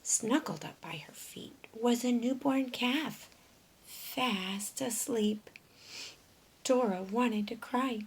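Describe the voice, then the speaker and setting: A woman reading a picture book aloud to children, often hushed, with expressive, deliberate narration and questions for young listeners. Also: on the high side, slightly rough, rough recording.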